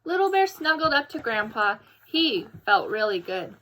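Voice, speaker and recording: A woman reading a children's story aloud, expressive and animated, as if to young listeners. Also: on the high side, moderate pace, slight background noise, rough recording. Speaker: storybook reader.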